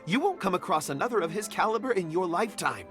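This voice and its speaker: An actor playing a courteous, flattering gem merchant in a video game. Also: male voice, sits high, fast. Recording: game audio with clear background music. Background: game music.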